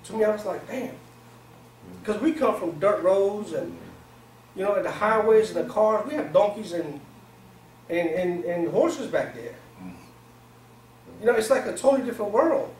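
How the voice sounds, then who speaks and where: A man in his forties speaks informally to a small group as a seminar speaker, with streetwise phrasing and English as a second language. His voice sits a little high. It is caught from across an echoey conference room with faint noise. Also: lively intonation, moderate pace, pauses often, darkish tone. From Pakistan, grew up in Virginia, US. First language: not English. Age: 40s. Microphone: far camera microphone. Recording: echoey room, distant camera mic, noisy.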